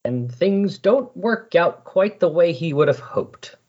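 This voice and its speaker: A man's voice: sits high, animated, slow pace, breathy edge. A man hosting a podcast in casual chat, rambling and correcting himself as he recaps, on a dull, lo-fi recording. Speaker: podcast host. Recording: dull, lo-fi sound.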